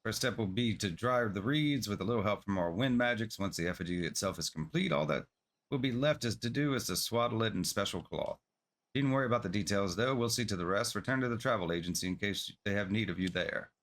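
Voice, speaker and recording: A man's voice: on the low side, animated, fairly quick, fairly bright tone. A man reading the part of a helpful, reassuring villager from a fantasy video game, in a courteous manner.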